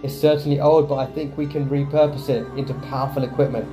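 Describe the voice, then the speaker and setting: A man's voice: mid-range voice, level tone, fast, over music. A man hosting a gaming video with casual gameplay commentary.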